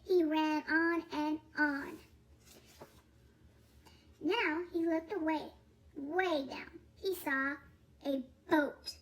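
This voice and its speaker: A woman reading a children's picture book aloud in a playful, expressive way, drawing out words. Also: sits high, extremely slow, long pauses. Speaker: storybook reader.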